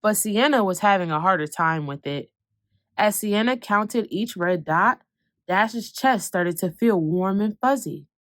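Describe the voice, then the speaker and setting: Woman, children's book read-aloud, expressive storytelling, dry close sound. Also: medium pitch, no room sound. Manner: expressive, slows for calm moments, speeds up for excitement.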